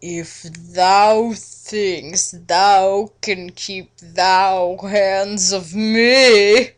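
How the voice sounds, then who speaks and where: Woman putting on a slow, purring, pompous immortal heartthrob boy. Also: mid-range voice, steady tone. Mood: smug.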